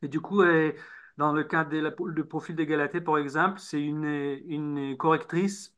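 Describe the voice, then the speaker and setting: Man, Hebrew speaker, author guest, slightly high voice, halting, repeats words. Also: fairly even intonation, a bit bright, smooth. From Israel. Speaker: novelist guest. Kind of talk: interview answers.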